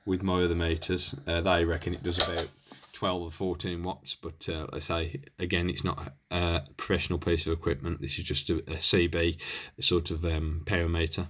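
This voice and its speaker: Low-voiced man, rambling through a demo. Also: fairly bright tone. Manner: rambling, hesitant, thinking aloud while handling gear. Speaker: hobbyist presenter reviewing radio equipment. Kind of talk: casual hands-on demonstration, unscripted.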